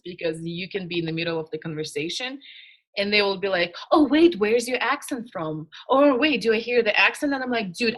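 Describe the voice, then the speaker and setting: A young woman from Russia, a native Russian speaker, co-hosting a casual podcast and chatting frankly and animatedly. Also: medium pitch. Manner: frank, animated, laughs easily. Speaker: podcast co-host.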